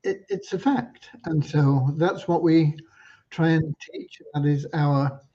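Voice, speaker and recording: A man from Cornwall hosting an informal livestream, talking in an unhurried, earnest, slightly preachy way. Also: a little high, warm tone. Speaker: livestream host and community leader. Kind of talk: informal livestream updates with devotional talk. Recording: dull lo-fi livestream audio.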